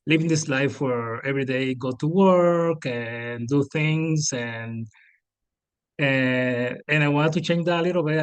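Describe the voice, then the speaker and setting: A middle-aged man from Cuba, a native Spanish speaker, telling his story as an interview guest, halting with many fillers and restarts. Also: medium pitch, no room sound, rough recording. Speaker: guest, cafe founder. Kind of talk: casual interview.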